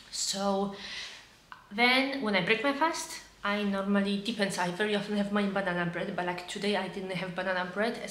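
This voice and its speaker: A woman's voice: mid-range voice, a bit bright, echoey room, a little hiss or hum. A woman in her thirties from Poland with a Polish accent, chatting casually to camera at home with many fillers and restarts. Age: 30s. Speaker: vlogger.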